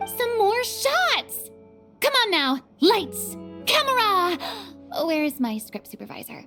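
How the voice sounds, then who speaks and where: A small, excitable director character calls out orders in an extremely high, childlike voice. The delivery is animated and bossy, in anime game style, with light music behind. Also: female voice, takes it slowly, full character voice. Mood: excited, bossy. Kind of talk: English anime game dub acting.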